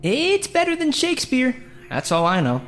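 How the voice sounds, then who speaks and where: A man's voice: very high-pitched, wildly swooping pitch, normal speed, a bit bright, full character voice. A man playing an eager, chatty amateur stage actor in audio drama dialogue. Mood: eager, casual.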